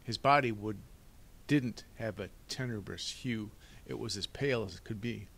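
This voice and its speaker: A man's voice: on the low side, normal speed, gravelly, a bit bright. A man voicing an earnest, bookish young boy in a storybook, emphatic and precise in his speech.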